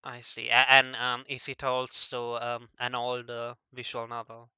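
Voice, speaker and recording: A man conducting a casual interview in a halting way, often stammering over the starts of words. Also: medium pitch, completely flat delivery, sharp tone, clean tone, no room sound, highs cut off.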